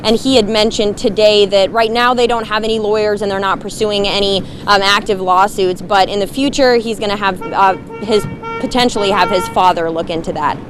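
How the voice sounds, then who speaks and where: Woman, live TV reporter, medium pitch, faint outdoor traffic noise. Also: fairly even intonation, a little fast. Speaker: TV news reporter. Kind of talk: live report answering anchor.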